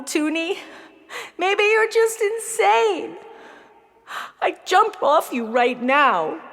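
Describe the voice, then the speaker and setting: A woman's voice: extremely high pitch, exaggerated voice. A woman playing an emotional, brainy nine-year-old girl in a children's audio play, melodramatic and pleading. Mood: fearful, despairing. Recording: long reverb on the voice.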